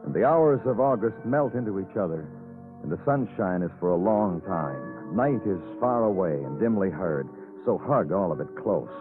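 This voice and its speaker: A weary noir detective from 1950s radio crime drama. His low voice moves through wide swings of emphasis, somber and poetic, with music behind a thin, narrow old broadcast sound. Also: lively intonation, moderate pace. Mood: brooding. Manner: terse, somber, dramatic phrasing. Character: weary, brooding male police detective narrating his case.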